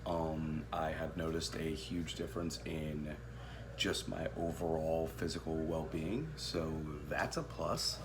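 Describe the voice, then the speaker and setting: A man's voice: rumbling low, unhurried. A man in his thirties chatting casually and playfully as co-host, recorded on a phone in a home kitchen. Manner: playful, animated. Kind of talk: casual chat, comic storytelling. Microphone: phone at arm's length, behind host. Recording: clear background noise, home phone recording.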